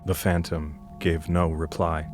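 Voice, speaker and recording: A man's voice: deep voice, level tone, measured pace, over music. A man narrating an audio drama as a grave, solemn storyteller with an ominous manner.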